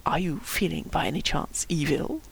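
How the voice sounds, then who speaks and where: A woman's voice: low voice, normal speed, gravelly, slightly rough, full character voice. Woman as a wry, mischievous young man.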